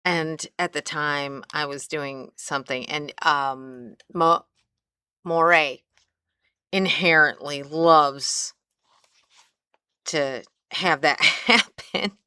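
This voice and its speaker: A woman's voice: low-pitched, sharp tone, clear, resonant tone, no room sound, studio quality. A middle-aged woman with a Midwestern accent, chatting as she demonstrates a craft, rambling and trailing off mid-sentence. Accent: Midwestern American. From American Midwest. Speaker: craft instructor. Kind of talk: casual crafting tutorial.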